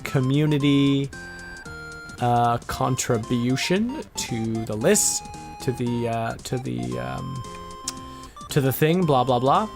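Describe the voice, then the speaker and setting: Playful gravelly-voiced man chatting. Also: medium pitch, expressive, slow pace, over music. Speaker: podcast co-host. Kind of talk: casual podcast chat about retro games.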